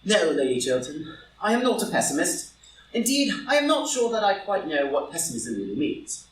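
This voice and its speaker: A man playing a witty, idle English lord and loyal friend in a live reading of a stage comedy, speaking haltingly with pauses. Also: on the high side, wildly swooping pitch. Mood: earnest. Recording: roomy, distant, noisy live group recording.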